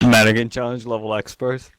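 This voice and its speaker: Male player, voice chat; deadpan sarcastic one-liners, middle-pitched everyday voice. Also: a little fast, clean tone. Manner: sarcastic quips, swears casually. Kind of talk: casual online tabletop game chat.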